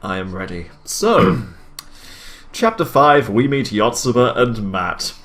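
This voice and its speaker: A man's voice: medium pitch, big pitch swings, slow pace, a bit bright. A man hosts a comic read-aloud with music behind him. He delivers the story dramatically and drops into amused asides, sounding on the edge of laughter. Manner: amused, breaks into laughter while reading. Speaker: host reading fan fiction aloud. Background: background music.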